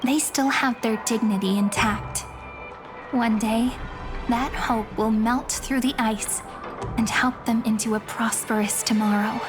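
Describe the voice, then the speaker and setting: Woman acting an earnest, high-voiced young female guide. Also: steady tone, unhurried, close to an everyday voice. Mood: earnest, gentle. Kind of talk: video game story dialogue. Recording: background music under the voice.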